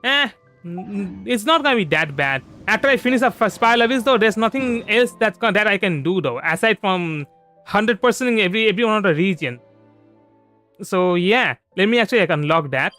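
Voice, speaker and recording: A man's voice: sits high, expressive, fairly quick. A man chatting casually through a game livestream, rambling and often restarting his phrases. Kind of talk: casual gameplay livestream commentary. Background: soft background music.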